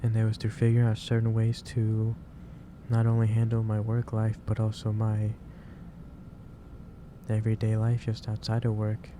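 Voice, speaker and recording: Low-voiced, halting gentle boss played by a man. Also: monotone, close to an everyday voice. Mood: gently concerned. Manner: halting, repeats and restarts phrases. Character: gentle, caring office boss lecturing a tired worker. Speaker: ASMR roleplay performer. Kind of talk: soft-paced ASMR roleplay monologue. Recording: clearly noisy background hiss or ambience.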